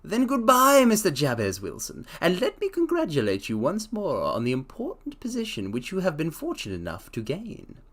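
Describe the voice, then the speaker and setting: A man voicing a formal older employer who speaks in a slow, measured, stately way. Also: fairly high pitch, huge pitch swings, a bit bright, exaggerated voice. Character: formal older male employer, pompous and deliberate.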